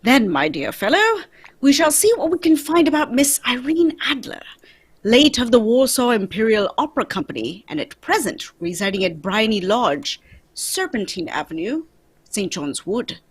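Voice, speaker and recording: A shrewd gentleman detective played by a woman, high in pitch and slightly husky. The delivery swings widely in tone, formal and polite, in the manner of an old-time radio mystery. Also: sing-song, moderate pace. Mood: assured, genial.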